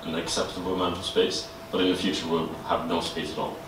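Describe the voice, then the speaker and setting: A man giving a student group design presentation in a halting way, with frequent "uh" and "um". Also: sits low, flat delivery. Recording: echoey room, distant mic, noise.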